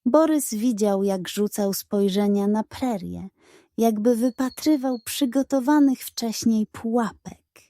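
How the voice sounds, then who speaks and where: Woman, children's story narrator, unhurried pace, soft mellow tone, dry close sound, expressive reading. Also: on the high side, no echo. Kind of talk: scripted story narration, expressive read-aloud.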